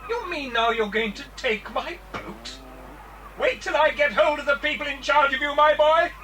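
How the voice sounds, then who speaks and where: A man's voice: very high voice, normal speed, pushed and bright. A man playing a flustered, prim stranger who loves birds, stammering in a British accent for a children's audio drama. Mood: indignant. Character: flustered, fussy male bird-lover stranger, stammering and easily alarmed. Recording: faintly noisy old cassette recording.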